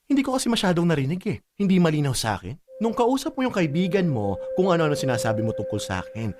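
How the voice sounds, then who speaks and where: A woman's voice: low-pitched, big pitch swings, quick pace. A woman playing a strict, hot-tempered elder sister who scolds and interrogates like a parent, in radio drama style. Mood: cold, menacing.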